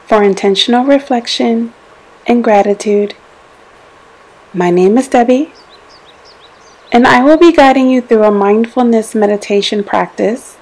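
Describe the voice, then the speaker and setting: Slow, mellow woman guiding a meditation. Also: mid-range voice, rough recording. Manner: gentle, soothing, deliberate instruction with pauses. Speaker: meditation guide. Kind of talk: guided meditation, scripted narration.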